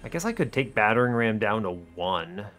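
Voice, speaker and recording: A man's voice: lowish voice, very animated, normal speed, fairly bright tone. A man giving casual strategy game commentary, thinking aloud and questioning his own choices as he explains his reasoning. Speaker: gaming video host. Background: music behind the voice.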